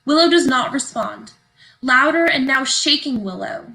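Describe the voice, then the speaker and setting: A woman narrates a play's stage directions from the script. Her voice is bright and crisp-edged, sitting slightly high. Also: expressive, measured pace, poor audio.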